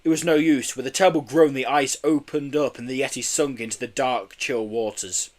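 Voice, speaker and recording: A man's voice: mid-range voice, fairly even intonation, a little fast, a little mellow. A man reading a children's storybook expressively, occasionally stumbling over his words. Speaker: audiobook reader.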